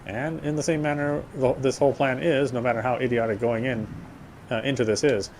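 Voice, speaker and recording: A man's voice: medium pitch, steady tone, a little fast, a little mellow. Man narrating horror over background noise. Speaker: playthrough host. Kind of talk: dramatic read-aloud narration of a horror game.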